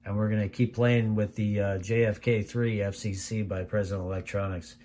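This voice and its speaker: A low-pitched American man talks through a casual product review with steady noise behind him. He is conversational and unscripted, wandering a bit and circling back over his points. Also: normal speed. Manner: conversational, rambling, repeats himself. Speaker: radio reviewer. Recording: clear steady background noise.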